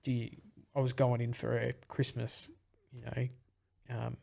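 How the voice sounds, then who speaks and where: Husky man with a gravelly edge. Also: mid-range voice, monotone, a little slow, mellow. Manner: rambling, with many fillers and restarts. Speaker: podcast guest. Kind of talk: casual chat.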